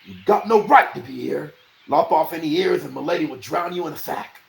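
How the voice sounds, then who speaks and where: A man's voice: fairly high pitch, brisk, creaky, pitched above the performer's own voice. A man voicing a scrawny, defiant old peasant with emphatic rustic speech, in a storybook reading. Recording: roomy echo, faint noise.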